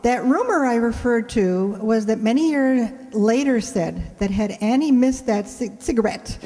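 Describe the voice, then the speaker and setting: In a reverberant hall, a woman presents a scripted introduction to an audience. Her voice is mellow and a little high. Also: expressive, unhurried. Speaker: presenter introducing a radio play. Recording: echoey hall.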